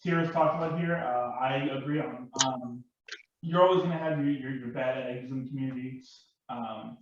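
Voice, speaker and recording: A man in a casual panel discussion, speaking haltingly and often repeating his words. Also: medium pitch. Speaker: panel guest and larper. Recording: very echoey, distant, dull, noisy microphone.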